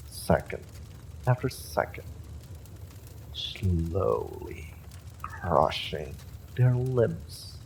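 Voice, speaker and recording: An actor playing an evil male sorcerer, smugly polite and sadistic, in a slow, theatrical audio drama full of menace. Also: low voice, creaky, slight background noise, heavily acted, poor audio. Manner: slow, deliberate, theatrical threatening delivery. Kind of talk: dramatic audio roleplay monologue.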